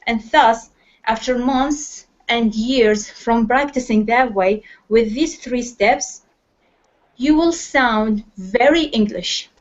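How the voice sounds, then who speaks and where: A woman's voice: on the high side, takes it slowly. Iraqi woman teaching over a call. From Iraq. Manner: hesitant, many fillers and restarts. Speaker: English class teacher. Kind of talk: online class instruction. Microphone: computer mic on a video call. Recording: lo-fi online call audio.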